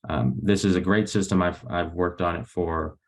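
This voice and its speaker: A young man, a graduate researcher, giving a technical webinar presentation over a video call in an explanatory, somewhat hesitant way, with a low creaky voice. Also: fairly even intonation, moderate pace. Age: young adult.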